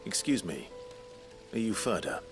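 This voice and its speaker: An actor playing a calm, resolute outlawed traveler in a video game, speaking in a polite, terse and measured way. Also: male voice, fairly low pitch. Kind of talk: video game cutscene acting. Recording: music under the dialogue.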